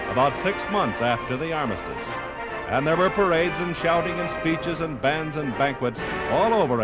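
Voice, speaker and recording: An American man narrates solemnly in 1950s radio documentary style. His mid-pitched voice rides over loud music. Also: rough recording. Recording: 1950s radio production from vinyl record.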